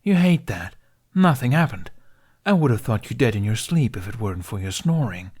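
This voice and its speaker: A man's voice: mid-range voice, big pitch swings, moderate pace, a little mellow, breathy edge. Man voicing an indignant, formal male dragon. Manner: formal, precise, exasperated.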